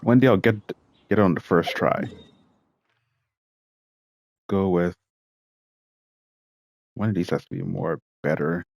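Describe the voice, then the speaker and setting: A man's voice: low-pitched, slow, gravelly, pauses often, darkish tone, no room sound. A man giving casual, offhand gameplay commentary, muttering as he plays. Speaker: game streamer.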